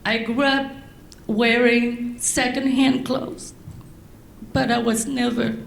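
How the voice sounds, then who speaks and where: A middle-aged woman from Laos, raised in France, giving a prepared speech to an audience in slow, deliberate phrases. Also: fairly high pitch, flat delivery, creaky, roomy sound, slight background noise. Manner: slow, deliberate delivery with long pauses. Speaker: guest speaker.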